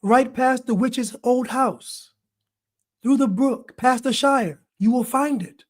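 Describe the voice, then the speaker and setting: A man's voice: very high voice, slow, heavily acted. A man putting on a swooning mock-Shakespearean lover. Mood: theatrical. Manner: mock-Shakespearean, pleading, overwrought. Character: swooning melodramatic old-fashioned lover, adult.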